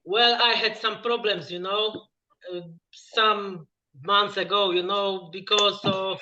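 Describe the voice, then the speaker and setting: A man's voice: sits high, fairly even intonation, pushed and bright, muffled recording. A man from Poland with a Polish accent, a guest musician talking casually in English and filling his speech with "you know" as he searches for words. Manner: halting, lots of "you know" fillers. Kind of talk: casual interview over a call.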